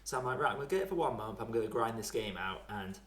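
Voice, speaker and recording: A man with a fairly low voice talks in a relaxed, colloquial podcast style. He is chatty and pokes fun at himself. The recording is noticeably echoey and roomy. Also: fast. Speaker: podcast speaker. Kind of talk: casual chat about video games.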